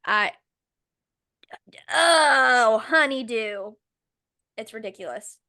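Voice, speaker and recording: A woman's voice: fairly high pitch, animated, drawn-out words, pushed and bright, no room sound, studio quality. A woman co-hosting a casual podcast chat, sick with a voice that cuts in and out, blunt and swearing freely. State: sick, voice going in and out. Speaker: podcast co-host.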